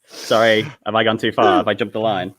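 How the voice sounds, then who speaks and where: A man's voice: lowish voice, level tone, brisk, fairly bright tone. A man reading stage directions as scripted narration at a comedic table read.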